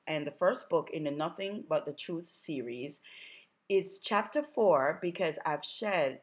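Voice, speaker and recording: A low-voiced woman with a Jamaican accent reads aloud from her own novel. She is a little under the weather but chatty and enthusiastic. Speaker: author.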